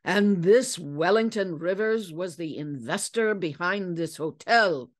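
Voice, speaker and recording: A woman's voice: fairly low pitch, expressive, takes it slowly. A woman voicing a proud, blustering male hotel keeper in a storybook, full of exclamations and colorful slang. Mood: exclaiming.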